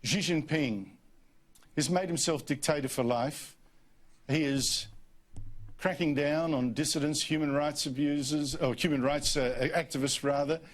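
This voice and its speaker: A man's voice: fairly high pitch, measured pace. A man interviewing a politician, pressing his questions and cutting in. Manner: persistent, interrupting.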